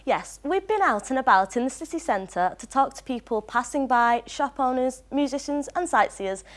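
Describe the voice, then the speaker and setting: A woman's voice: sits high, lively intonation, normal speed. A young woman co-presenting a live student studio show, reading links and asking questions.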